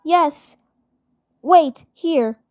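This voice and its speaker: Casting: playful lovestruck cartoon girl, done by a woman, high, slow and halting. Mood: excited. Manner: halting, repeats phrases.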